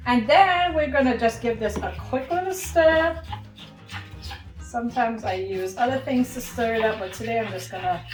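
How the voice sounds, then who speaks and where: A woman's voice: a little high, normal speed, roomy sound, music in the background, low-quality recording. A woman from Trinidad and Tobago hosting a home cooking demonstration, chatty and talking to viewers as friends. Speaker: cooking show host.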